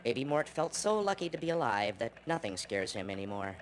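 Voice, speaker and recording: An actor voicing an earnest, excitable male chicken who reports like a TV news announcer in a cartoon game. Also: mid-range voice, a little fast, faint music behind, lightly acted. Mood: earnest reporting. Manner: dramatic announcer delivery. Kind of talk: mock TV news report and live event commentary. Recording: noisy game cutscene audio.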